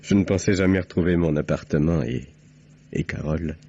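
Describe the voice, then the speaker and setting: A weary, bewildered man, extremely deep and husky, speaking in vintage French radio drama style. The sound is dull, like an old broadcast. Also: fairly quick, warm tone. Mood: weary, grateful. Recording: muffled old radio recording.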